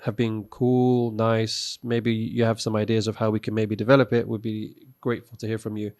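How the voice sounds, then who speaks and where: A man's voice: fairly low pitch, flat delivery, normal speed, a little mellow, clean tone. Earnest man in casual podcast chat. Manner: restarts and repeats words, earnest. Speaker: podcast co-host.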